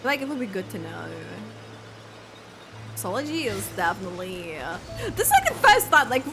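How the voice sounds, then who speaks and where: A woman giving casual, expressive live commentary on a video game, thinking aloud as she reacts. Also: mid-range voice, normal speed, faint music behind. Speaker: gamer reacting to story quest.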